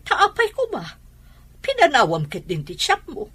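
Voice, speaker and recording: A woman's voice: very high voice, huge pitch swings, a little slow, fairly bright tone, heavily acted. A woman with an Ilocano Filipino accent playing an older mother in an audio drama, questioning and admonishing her grown son. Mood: scolding, questioning. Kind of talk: Ilocano radio-style drama acting.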